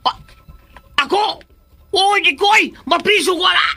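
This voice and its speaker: A Filipino actor playing a timid, easily scared comic sidekick in a radio drama, whiny and full of exclamations. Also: male voice, very high-pitched, slow, bright, forceful tone, full character voice. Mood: panicked.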